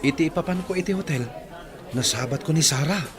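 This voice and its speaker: A man's voice: fairly high pitch, fairly quick, a crowd in the background, natural voice. A man playing an earnest, respectful young working student in a radio drama. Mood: matter-of-fact.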